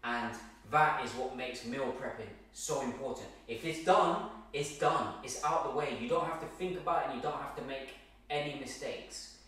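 A man's voice: medium pitch, moderate pace, fairly bright tone. A man in his thirties, a fitness coach, giving meal prep tips straight to camera, emphatic and repetitive, often checking in with "okay?". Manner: emphatic, repeats points, keeps asking okay. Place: large bare home kitchen. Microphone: far camera, no visible mic. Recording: very echoey room, from far off.

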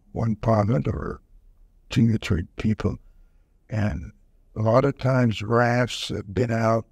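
A man in his nineties slowly recalling wartime service in an oral history session, halting with many fillers, speaking into a handheld mic. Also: mid-range voice, gravelly, a little mellow, slightly rough, dry recording. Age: over 90. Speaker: veteran interviewee. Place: museum event room, indoors. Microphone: handheld mic held close.

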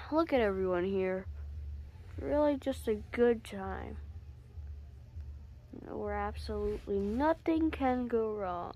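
Slow high-voiced girl voicing plush toys. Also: a little mellow. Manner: shifts between many put-on character voices, slow and drawn out. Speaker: plush toy video maker voicing all characters. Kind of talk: homemade plush toy skit. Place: bedroom.

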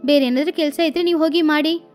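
A woman's voice: sits high, fairly even intonation, fast talker, a little mellow, faint music behind, lightly acted. A woman voicing an obliging female duck cook who talks politely and reassuringly. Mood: eager.

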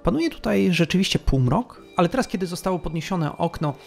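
A man narrating a tabletop role-playing game as game master, telling the scene slowly and atmospherically. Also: high-pitched, big pitch swings, creaky, soft background music.

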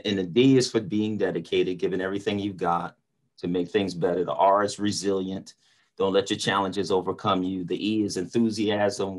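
Low-voiced older man speaking inspirationally. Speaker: motivational speaker on a panel. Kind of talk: earnest inspirational talk. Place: indoor room on a video call. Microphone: video call.

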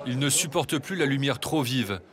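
A man reading scripted documentary voice-over narration in a measured, even way. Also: medium pitch, a crowd in the background.

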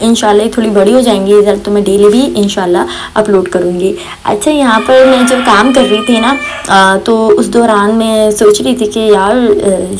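Young female cooking vlogger, mid-pitched, rambling friendly voice-over, faint hum behind. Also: darkish tone. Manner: chatty, rambling, many fillers and religious phrases. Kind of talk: casual voice-over chat. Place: home kitchen. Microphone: voice-over recorded separately.

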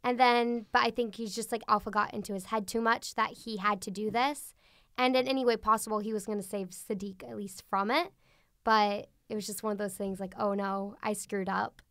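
A woman co-hosting a podcast, chatting casually and thinking out loud. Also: medium pitch, steady tone, fairly quick, dry recording, clean studio sound. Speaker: guest co-host.

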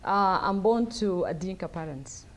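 Low-pitched woman speaking at a lectern. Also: lively intonation. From South Sudan. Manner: thoughtful, halting, many fillers. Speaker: guest speaker. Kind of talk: lecture Q&A answers. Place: wood-paneled event room, at a lectern. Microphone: lectern mic.

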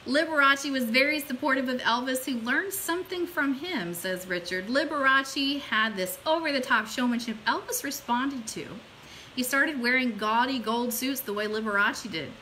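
A middle-aged woman hosts a livestream from a small room with an informal, enthusiastic manner. Her voice is fairly high and very bright, with a light hiss in the recording. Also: normal speed, poor audio. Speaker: host of an online radio show. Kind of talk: chatty livestream hosting, partly reading an article aloud. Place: small room indoors at home or office. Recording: steady background hiss.

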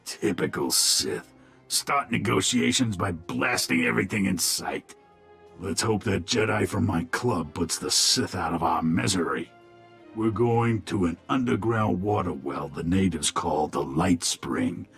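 An actor playing a shady, self-serving male criminal middleman in a video game, with a raspy, gravelly voice. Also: low-pitched, animated, a little slow, fairly bright tone, soft background music, heavily acted. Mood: wry.

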